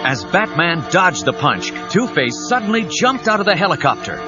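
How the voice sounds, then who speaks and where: A man's voice: high-pitched, sing-song, normal speed. A man narrating an action audio drama with urgent, suspenseful scripted delivery. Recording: dull cassette audio with dramatic music.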